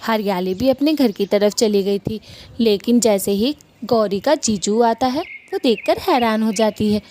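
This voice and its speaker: A woman's voice: fairly high pitch, unhurried, dark tone. A woman giving scripted narration for a children's cartoon story, as the storyteller.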